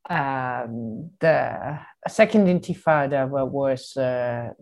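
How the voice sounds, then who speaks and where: Italian woman being interviewed, really slow low voice, halting as she looks for words. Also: darkish tone. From Italy. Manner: halting, hesitant, searching for words. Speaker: guest, human rights campaigner. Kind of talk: reflective interview answers.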